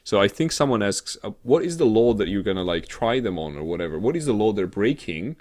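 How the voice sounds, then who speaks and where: A man's voice: on the low side, very animated, brisk, a little mellow. A man co-hosting a show in casual comedic chat, talking in a hesitant, rambling way full of filler sounds. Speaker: co-host of a weekly show. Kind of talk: casual comedic chat about tech news.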